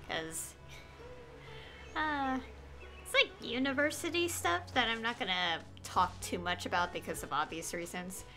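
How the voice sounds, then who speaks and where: Young woman, game streamer, fairly high bright voice, rambling casual chat, faint game music behind. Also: lively intonation, a little slow. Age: young adult. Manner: rambling, chatty. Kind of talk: casual chat while gaming.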